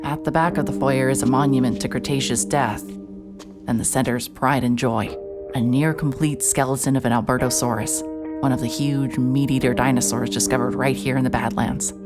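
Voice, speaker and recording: A woman playing a hard-hearted, stubborn private investigator in an audio drama, narrating in a wry, terse, deadpan way. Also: extremely low pitch, moderate pace, loud music behind the voice. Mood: brooding, observant. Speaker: narrator and lead actor.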